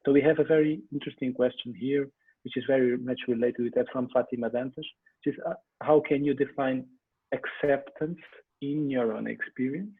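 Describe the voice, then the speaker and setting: A man's voice: mid-range voice, steady tone, a little fast, breathy edge, no room sound. A man moderating an online panel, speaking in a friendly, hesitant way with many fillers. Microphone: video call. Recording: tinny phone-like call line.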